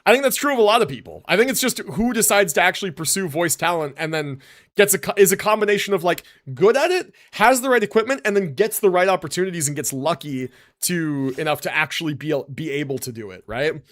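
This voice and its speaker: A man's voice: high-pitched, expressive, fast, fairly bright tone. A young adult man streaming live, chatting casually and rambling as he tells a story, often tagging on "right?" and "like" and sometimes mushing his syllables.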